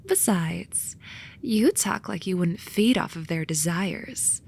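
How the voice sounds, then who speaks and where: A woman voicing a soothing but snarky sloth demon in a romantic ASMR roleplay, soft and coaxing with a dry edge. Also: fairly low pitch, lively intonation, slow, creaky, husky, heavily acted. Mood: defensive. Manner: soft, coaxing, dryly sarcastic, sometimes whispery.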